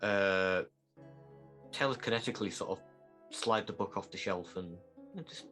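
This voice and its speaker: A man chatting casually as a player in a tabletop roleplaying game, in a tentative, halting way. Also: sits low, a bit bright, faint music behind.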